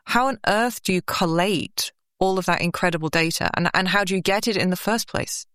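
A woman's voice: on the low side, a little fast, fairly bright tone, no room sound, clean studio sound. A woman interviewing a guest, asking curious, probing questions with enthusiasm. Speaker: interviewer, host.

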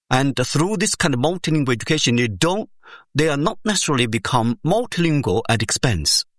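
A man in an academic interview, speaking English as a second language and calmly explaining his research step by step, pausing often with "uh" and making small grammar slips. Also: mid-range voice, no room sound, clean studio sound. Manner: measured, explains step by step with frequent "uh" pauses. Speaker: education researcher, interview guest.

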